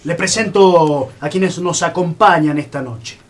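Male actor as earnest male revolutionary leader, measured and declamatory, lightish pitch, radio play. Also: close to an everyday voice. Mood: hushed, wary. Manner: solemn, declamatory. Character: earnest, cautious male 1810 independence leader.

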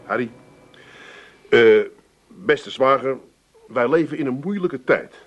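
A man's voice: mid-range voice, lively intonation, slow, dull audio, faint music behind. A man playing a bossy, cash-strapped middle-aged son in a radio comedy, pompous and mock-solemn, with an Amsterdam accent. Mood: pompous, wheedling. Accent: Amsterdam Dutch. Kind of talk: 1980s radio comedy acting.

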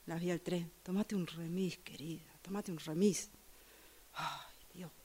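A woman's voice: lowish voice, fairly even intonation, measured pace, a little mellow, slightly rough. A woman with a Rioplatense Spanish accent playing a judgmental commuter in a radio drama monologue, musing dramatically as she waits. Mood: muttering, scornful. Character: judgmental woman waiting for a train, brooding over passers-by.

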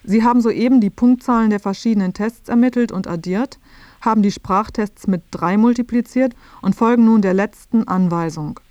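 A woman's voice: medium pitch, normal speed, a little mellow, dry recording, close to an everyday voice. A woman playing a dry, matter-of-fact presenter, reading scripted narration for a satirical radio feature.

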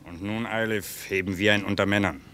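An actor playing a wily army recruiter, persuasive and swaggering, in a German radio play. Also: male voice, lowish voice, fairly even intonation, fairly quick, fairly bright tone, a little hiss or hum. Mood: coaxing.

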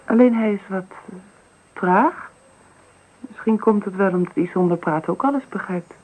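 An actress playing a gentle, slightly anxious young mother in a radio play, speaking with care. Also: mid-range voice, moderate pace, lightly acted. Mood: careful, earnest. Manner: careful, hesitant, proud of her child. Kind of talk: Dutch radio play acting. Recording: faintly noisy old radio recording.

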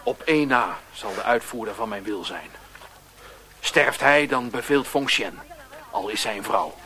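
Sharp, imperious caravan man. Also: on the low side, unhurried. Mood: commanding, angry. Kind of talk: radio play acting. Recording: noisy archive radio play recording.

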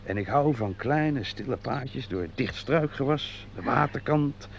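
An actor playing an easygoing, boastful nature lover and angler, jovial and unhurried, in a muffled radio play with some noise. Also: male voice, medium pitch, lively intonation, creaky. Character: easygoing, boastful angler and self-confessed driving maniac. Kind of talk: Dutch radio play acting.

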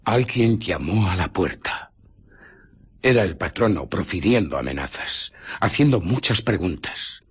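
Obsessed feverish scientist, castilian spanish, low husky voice, brooding radio drama stuff. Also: male voice, expressive, measured pace, exaggerated voice. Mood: tense recollection. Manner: brooding, intense. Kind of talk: radio drama acting, first-person narration.

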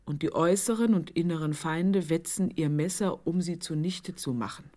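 An actress in a radio drama playing a woman who reads an affectionate, florid letter aloud, deliberately, savouring each phrase with pauses. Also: on the low side, mellow, lightly acted. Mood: earnest, proud.

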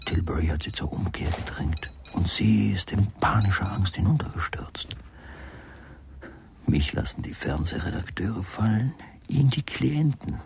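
Brooding sardonic writer, low and half whispered, talking to himself like in a radio play. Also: male voice, gravelly, heavily acted. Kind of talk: radio drama inner monologue.

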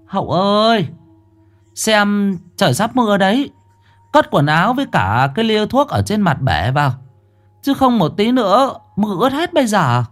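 A man puts on a jittery elderly widow, lifting his voice well above his own. She stammers and cries out, her pitch rising and falling widely, with quiet music underneath. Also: big pitch swings, unhurried, pauses often. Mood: urgent. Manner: stammering, exclaiming. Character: frightened elderly widowed mother, nervous.

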